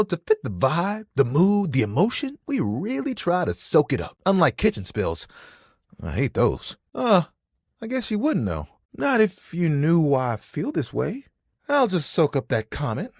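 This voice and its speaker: A man's voice: a little high, no echo, clean studio sound, exaggerated voice. A man voicing a friendly, vain, dramatic living towel in a video game, chatty and playful with an easily wounded manner. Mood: awkward, confiding. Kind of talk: animated, conversational game character acting.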